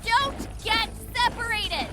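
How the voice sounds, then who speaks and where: Actress as female ninja master, very high voice, slow forceful orders, urgent, audiobook battle, loud music underneath. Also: animated, exaggerated voice. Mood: commanding. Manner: urgent, commanding. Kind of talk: dramatized audiobook acting.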